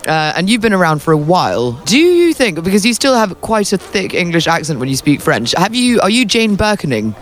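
A woman's voice: lowish voice, sing-song, fairly quick, few pauses, slight background noise. A woman hosting a sports podcast, interviewing a guest on location at a tennis venue in a playful, teasing way. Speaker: interviewer and show host.

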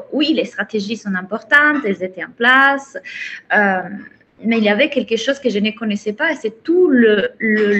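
A woman from Italy with an Italian accent, a coach speaking slowly and haltingly in a casual interview, with many restarts. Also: mid-range voice, fairly bright tone, a little hiss or hum. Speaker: business and life coach, guest.

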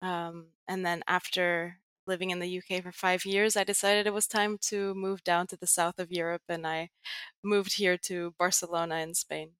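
A woman's voice: on the low side, flat delivery, normal speed, fairly bright tone, clear, resonant tone, no echo. A young adult woman with an American accent, explaining things patiently as a podcast guest, with frequent fillers. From Greece. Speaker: guest, tech writer and educator. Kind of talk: podcast interview.

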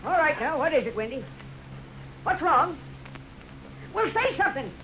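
A man's voice: very high-pitched, big pitch swings, moderate pace. An actor playing a fussy, flustered old night watchman with a querulous, folksy American voice in a 1950s radio serial. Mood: exasperated. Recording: muffled, noisy old radio recording.

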